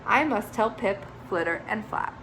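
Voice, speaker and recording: A woman voicing a young female bat, earnest and bewildered, in a lively storybook manner. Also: medium pitch, normal speed, background noise, close to an everyday voice. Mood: eager.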